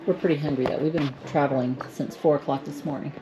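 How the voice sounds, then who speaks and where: A woman vlogger speaking candidly to camera, upset and anxious, with hesitant pauses. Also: sits low, fairly even intonation, very noisy. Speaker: travel vlogger. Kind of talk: candid vlog talk to camera.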